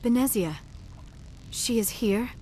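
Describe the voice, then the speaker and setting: A woman's voice: a little high, slow pace, mellow, a little hiss or hum, rough recording. An actress playing an earnest female character in a video game. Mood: earnest, subdued.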